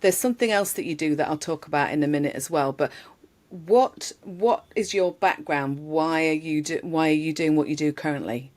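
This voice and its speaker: A woman's voice: deep voice, normal speed, a little mellow. A woman hosting a podcast, chatty and laughing easily, talking with a remote guest. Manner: chatty, laughs often, says "love". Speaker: podcast host. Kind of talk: casual interview chat.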